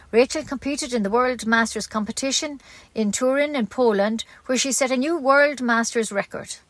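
A woman's voice: fairly high pitch, dry recording. A woman with an Irish accent reading scripted community news as a local radio newsreader.

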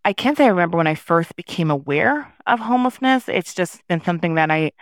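A woman's voice: lowish voice, animated, quick pace, no room sound. A woman from New York answering interview questions thoughtfully, with frequent restarts and fillers. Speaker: podcast guest.

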